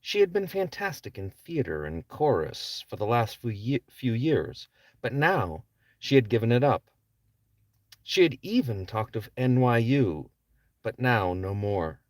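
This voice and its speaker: A man's voice: mid-range voice, very animated, unhurried, a little mellow, no echo. A man reading his own novel aloud as a scripted audiobook narration. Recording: distant microphone.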